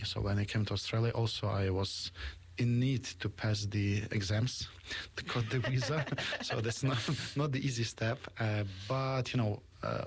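Husky, gravelly low-voiced man from Ukraine. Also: darkish tone. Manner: halting, searching for words, earnest. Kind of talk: conversational interview.

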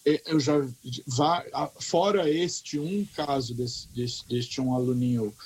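A Brazilian man, a teacher, speaking with a Brazilian Portuguese accent in casual conversation, earnest and reflective, with halting restarts. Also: medium pitch, steady tone, darkish tone, a little hiss or hum, low-quality recording.

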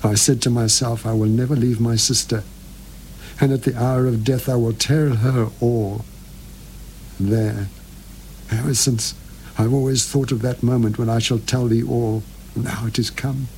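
A man's voice: mid-range voice, steady tone, a little slow, a little mellow, slight background noise, natural voice, poor audio. A man reading aloud as a frightened, dying elderly woman who confesses in broken, pleading phrases. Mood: hushed confessional.

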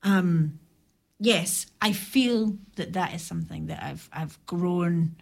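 Middle-aged woman, radio guest: low, gravelly voice, thoughtful and halting. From Ireland or Scotland. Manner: reflective, halting with restarts. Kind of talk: casual radio chat. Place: radio studio.

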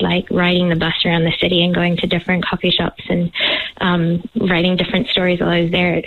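A woman's voice: fairly low pitch, creaky. A woman from Montreal, an author being interviewed by phone, talking casually with many hesitant ums. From Montreal, Canada. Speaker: author guest. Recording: tinny phone line.

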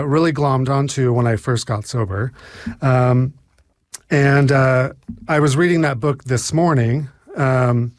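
A man's voice: medium pitch, dry recording, clean studio sound. A man in his forties with an American accent, telling his recovery story in a casual, hesitant way with many fillers and restarts. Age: 40s. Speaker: speaker sharing recovery story. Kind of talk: casual personal share.

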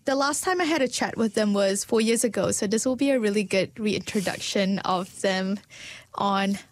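A woman's voice: medium pitch, moderate pace. A woman hosting a community radio interview, upbeat and welcoming. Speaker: radio host.

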